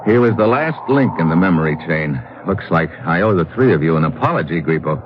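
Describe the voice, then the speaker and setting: An actor playing a wry, tough adventurer hero in an old radio drama, colloquial and sardonic. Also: male voice, low voice, lively intonation, quick pace, background noise. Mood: wry, relieved. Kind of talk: 1950s radio adventure acting with first-person narration. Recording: muffled old radio recording with music.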